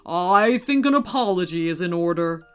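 Woman acting old male Santa, children's storybook, lower measured delivery, gentle authority, faint music behind. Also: very animated. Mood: firm, kind. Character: kindly, stern old male Santa with Christmas magic.

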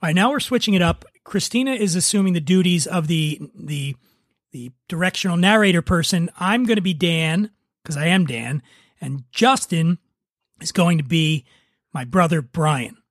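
A man's voice: fairly high pitch, moderate pace, dry recording, studio quality. A man hosting a podcast, chatting casually and directing a script reading. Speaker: podcast host, writer.

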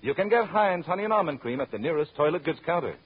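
An American man with a somewhat high, husky voice reads radio advertising copy. He is direct and friendly in the manner of a 1930s announcer. The sound is dull and dated. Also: lively intonation, fast. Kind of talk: scripted 1930s radio commercial. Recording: muffled vintage radio recording.

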